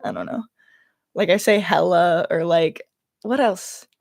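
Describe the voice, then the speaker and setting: A woman's voice: fairly low pitch, unhurried, creaky, a little mellow, dry recording. Young Californian woman, casual livestream chat, dry sound. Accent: Californian, slight Valley Girl. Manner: rambling, self-interrupting, heavy use of "like". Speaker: livestream host and YouTuber.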